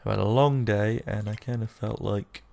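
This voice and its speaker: A young man with a sore, croaky throat, rambling casually through let's-play commentary with lots of fillers. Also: lowish voice, steady tone, moderate pace, a little mellow. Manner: rambling, self-deprecating, with many fillers. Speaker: gaming YouTuber.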